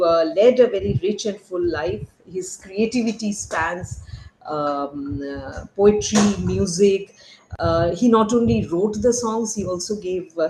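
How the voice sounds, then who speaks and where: A woman's voice: medium pitch, darkish tone, roomy sound, a little hiss or hum, low-quality recording. A woman, a retired diplomat, presenting a seminar paper over a video call, hesitant with many fillers and restarts. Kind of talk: academic talk on a video call.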